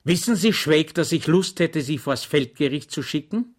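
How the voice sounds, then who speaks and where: A man's voice: on the high side, lively intonation, moderate pace, voice pushed up. A man reading an audiobook, voicing an exasperated, short-tempered army lieutenant who talks with sarcasm.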